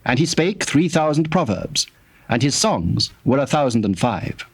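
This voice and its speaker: A man narrating scripture from a script in a formal, measured manner. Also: mid-range voice, big pitch swings, husky.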